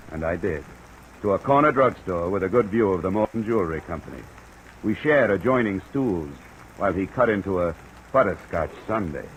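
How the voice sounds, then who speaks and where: An actor playing a wry, hardboiled insurance investigator, dry and wisecracking, in an old-time radio drama. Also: male voice, low voice, natural voice, low-quality recording. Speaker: radio drama lead and narrator. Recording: old radio recording, steady hiss.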